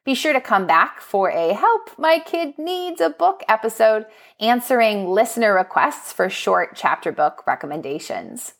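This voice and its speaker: A woman presenting book recommendations as a podcast host, speaking in an enthusiastic, expressive, prepared narrating style. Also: mid-range voice, gravelly. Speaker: school librarian.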